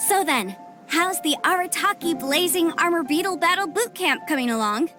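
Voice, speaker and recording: Very high-voiced, breezy young woman. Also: animated, normal speed, over music. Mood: cheerful. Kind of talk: video game voice acting.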